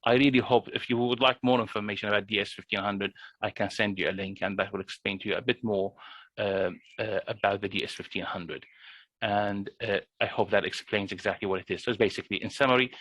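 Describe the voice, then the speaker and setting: A man's voice: on the low side, fairly bright tone, no echo, poor audio. A man, a GP, explaining a benefits form in an online workshop, with many fillers and restarts. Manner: hesitant, frequent restarts and fillers. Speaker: GP presenter. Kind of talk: explanatory presentation.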